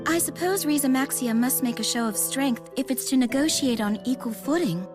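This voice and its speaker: A woman's voice: high-pitched, moderate pace, lightly acted. An actress playing a serious, analytical young woman in a fantasy video game, composed and precise. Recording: loud music behind the voice. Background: loud background music.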